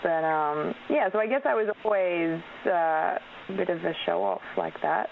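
A woman's voice: lowish voice, very animated, moderate pace, gravelly. A young Australian actress in her twenties, whose first language is Polish, chatting casually in an interview with lots of ums and laughs. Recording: tinny phone-like radio line with faint hiss.

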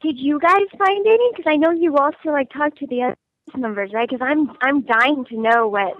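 An actress being interviewed over the phone, chatty and bubbly, laughing often. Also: female voice, high voice, normal speed, dry recording. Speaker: actress guest. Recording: tinny phone line.

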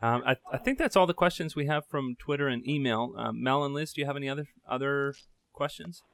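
Man, interviewer, hesitant and stumbling, crisp bright tone, dry close recording. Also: mid-range voice, fairly quick, no room sound. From Canada. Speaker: podcast interviewer. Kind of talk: fan podcast interview.

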